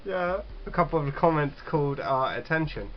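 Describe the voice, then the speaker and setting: A man's voice: on the high side, lively intonation, brisk, dull audio, a little hiss or hum. A man hosting a casual gaming read-aloud, jokey and sweary, stumbling a little as he reads. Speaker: gaming video host.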